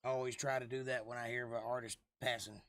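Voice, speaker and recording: A man with a hoarse, froggy voice hosting a casual livestream, rambling and joking at his own expense as he replies to viewers. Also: mid-range voice, flat delivery, fast talker, a bit bright, no echo, clean studio sound. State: lost voice for months, throat clearing. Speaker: livestream host.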